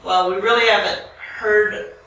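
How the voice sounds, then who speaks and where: Bright-voiced American woman, very echoey room. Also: mid-range voice, fairly even intonation, normal speed, vocal fry. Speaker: audience member asking a question. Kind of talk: spontaneous question from the audience. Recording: very echoey room, distant mic, faint noise.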